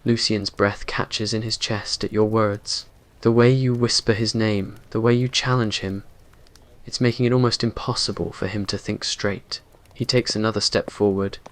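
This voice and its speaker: A man's voice: lowish voice, a bit bright. A man reading scripted romantic roleplay narration in an ASMR story style.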